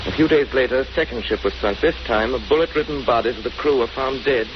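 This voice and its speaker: An actor playing a grave, assured gentleman detective in a 1930s radio drama. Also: medium pitch, quick pace, lightly acted. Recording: muffled, hissy 1930s radio recording.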